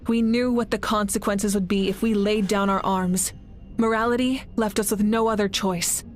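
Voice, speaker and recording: A woman's voice: medium pitch, normal speed, lightly acted. Woman as a resolute leader over faint music. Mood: earnest. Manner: earnest, declarative, steady. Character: resolute, noble female war leader, calm and self-sacrificing. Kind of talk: video game voice acting.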